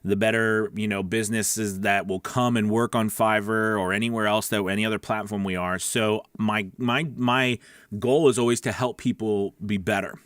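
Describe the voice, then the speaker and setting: A man's voice: fairly low pitch, fairly even intonation, moderate pace, a bit bright, clean tone, dry recording, studio quality. A man hosting a live-streamed coaching show for new voice actors, talking in a chatty, rambling way with lots of fillers. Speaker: host.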